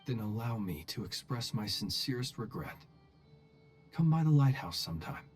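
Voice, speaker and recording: An actor voicing an unflappable, wry and courteous adventurer in a video game, with understated, formal phrasing. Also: male voice, lowish voice, very animated, normal speed, darkish tone, soft background music. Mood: wry, teasing. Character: unflappable, wry, courteous male lighthouse-dwelling adventurer with a curse.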